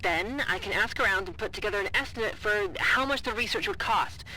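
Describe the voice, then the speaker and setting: An actor in an audio drama playing an anxious, apologetic colleague, halting and defensive as he reports over the radio. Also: sits high, sharp tone, heavily acted, rough recording. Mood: insistent, uneasy. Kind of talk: naturalistic audio drama dialogue.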